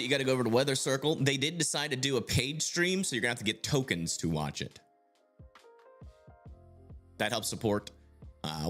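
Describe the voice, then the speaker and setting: Lively-voiced man hosting a weather livestream. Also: mid-range voice. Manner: distracted, thinking aloud with many fillers. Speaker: livestream host. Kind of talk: casual livestream commentary.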